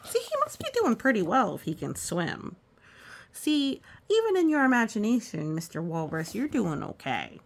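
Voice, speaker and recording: A woman's voice: a little high, huge pitch swings, normal speed, vocal fry. A woman reading a story aloud, with reassuring, musing, chatty asides as if to children. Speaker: storytime reader.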